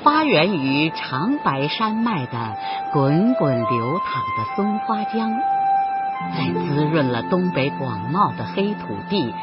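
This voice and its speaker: A woman narrating a radio drama in a lyrical, expressive storytelling style with dramatic phrasing. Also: deep voice, takes it slowly. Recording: dull broadcast recording with loud music.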